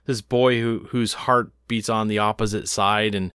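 A man's voice: fairly low pitch, level tone, unhurried, fairly bright tone, smooth, dry recording, clean studio sound. A man hosting a casual conversation, earnest and emotional, often hesitating mid-sentence.

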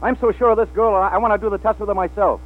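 An actor playing a hard-drinking, flirtatious male film star in a 1940s radio drama, halting and repeating his words. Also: high-pitched, steady tone, lightly acted. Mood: excited, insistent. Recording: old 1940s radio, tinny with hum.

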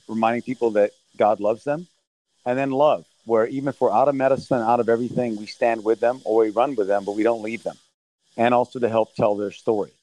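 A man's voice: mid-range voice, darkish tone, no room sound, low-quality recording. Earnest middle-aged man, dry sound. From Thailand. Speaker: relief mission leader. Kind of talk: remote interview answers.

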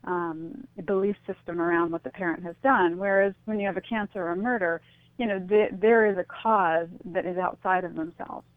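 A woman's voice: lowish voice, fairly even intonation, moderate pace, no room sound. A middle-aged woman being interviewed as a radio guest, explaining her thoughts in an earnest, reflective way. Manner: earnest, explanatory, often saying "you know". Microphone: phone or call line into a radio show. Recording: thin phone-like line, dry.

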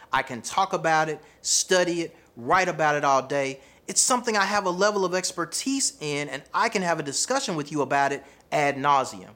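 A man's voice: on the high side, fairly bright tone. A man with a Southern accent giving scripted self-help advice to viewers, calling them fam and y'all. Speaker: charisma coach.

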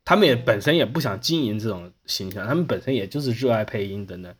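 A man's voice: fairly low pitch, expressive, fast talker, breathy edge. A man speaking as a podcast host in casual conversation. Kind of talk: casual chat.

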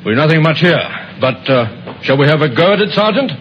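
A man playing a calm, businesslike police inspector in a 1950s radio drama, measured and probing. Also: a little high. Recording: dull old radio recording, faint noise.

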